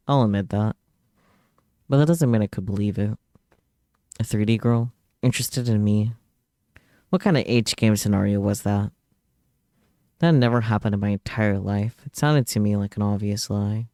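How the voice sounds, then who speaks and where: Casting: male streamer, mellow mid-range voice, dramatic read-aloud of visual novel narration, very dry close sound. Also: lowish voice, moderate pace, long pauses, no echo.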